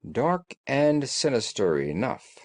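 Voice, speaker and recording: A man voicing a shrewd gentleman detective in an audiobook, calm, analytical and authoritative, with formal, deliberate phrasing. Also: medium pitch, dry recording. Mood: pondering.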